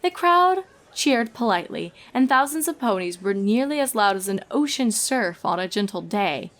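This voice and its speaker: A woman reading scripted story narration in a measured, expressive storytelling manner. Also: mid-range voice. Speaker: fan fiction reader and narrator.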